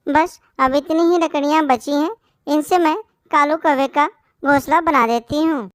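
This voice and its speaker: A woman voicing a kind, weary little female bird in a cartoon story, gentle and earnest. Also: high voice, fairly even intonation, unhurried, no room sound, studio quality. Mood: weary, resolved. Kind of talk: Hindi cartoon story voice acting.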